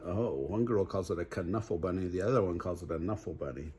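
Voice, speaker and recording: An older man reads a children's story aloud in a grandfatherly way, pausing to put chatty questions to young listeners. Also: low-pitched, darkish tone, husky.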